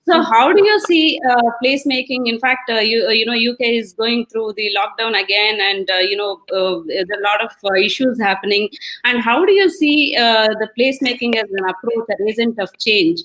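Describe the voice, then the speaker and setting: A woman with an Indian accent moderates a webinar discussion. Her voice is pitched a bit higher than average as she hosts the call. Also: normal speed, a bit bright, low-quality recording. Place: online video call.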